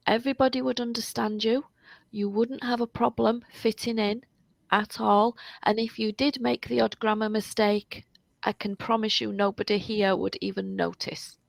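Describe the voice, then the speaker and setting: A woman's voice: a little high, level tone, normal speed, creaky, no room sound. A British woman tutoring English over a video call, encouraging and emphatic, often repeating words for stress. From United Kingdom. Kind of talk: online tutoring conversation.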